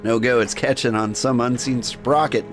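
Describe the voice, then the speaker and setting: A man's voice: medium pitch, fairly even intonation, moderate pace, natural voice. Male player as brooding minotaur antihero, wry and tired, narrating a Victorian game over music. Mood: wry, weary. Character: world-weary male Victorian minotaur, wry, struggling with vices. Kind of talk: first-person game narration in character.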